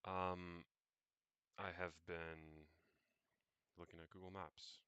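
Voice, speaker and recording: A young man narrating what he does on screen, unscripted, in a halting, meandering way as he thinks aloud. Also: low voice, little pitch movement, sharp tone, smooth, no echo, lo-fi sound. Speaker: vlogger.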